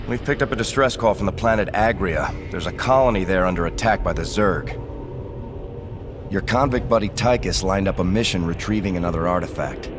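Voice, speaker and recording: An actor playing a dutiful, businesslike starship officer, giving formal briefings in a video game cinematic. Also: male voice, low-pitched, brisk, over music, natural voice.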